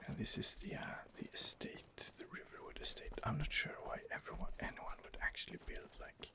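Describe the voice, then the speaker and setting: A man giving soft, hesitant, whispered ASMR commentary over gameplay into a close home microphone, pausing often on fillers. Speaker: let's play host.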